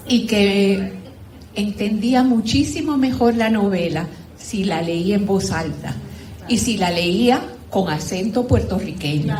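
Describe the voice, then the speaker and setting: A woman's voice: mid-range voice, a little mellow. A woman with a Dominican accent speaking informally and conversationally as a participant at a book gathering. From Dominican Republic. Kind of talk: informal spoken commentary at a literary gathering. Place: echoey room at a live group gathering. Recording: roomy echo, faint background noise.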